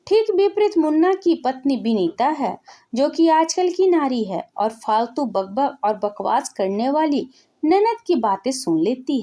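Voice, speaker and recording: A woman's voice: sits high, warm tone. A woman storyteller reading a scripted narration of a family story.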